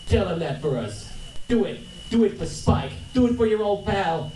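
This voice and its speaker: Guy doing a blustery cocky gangster boss for a radio play, voice jumps around high, echoey room. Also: animated, normal speed, warm tone. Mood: threatening. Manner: blustering tough-guy slang. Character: cocky, bossy, not-too-bright male gangster. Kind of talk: live comic reading of an old-time radio crime drama. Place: convention panel room. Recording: roomy echo, distant mic, faint noise.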